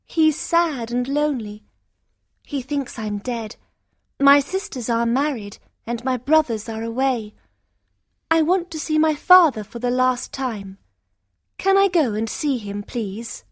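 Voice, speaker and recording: A woman playing a kind, gentle young heroine in a simple fairytale, speaking sweetly and politely. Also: high voice, expressive, unhurried, dry recording, studio quality. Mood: sad, pleading. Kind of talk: fairytale storybook dialogue for English learners.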